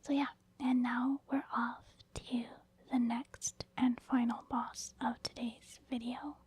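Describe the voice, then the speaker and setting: A woman whispering softly and breathily in a casual, rambling ASMR commentary over video gameplay. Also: on the high side, flat delivery, takes it slowly, husky. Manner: casual, rambling, gentle explaining. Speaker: gaming ASMR video host.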